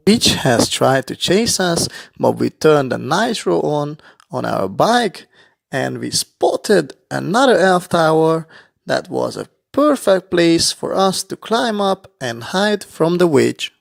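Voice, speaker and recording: A man's voice: fairly high pitch, vocal fry, no room sound. A man narrating a toy-play bedtime story for young children, explaining things simply as he goes.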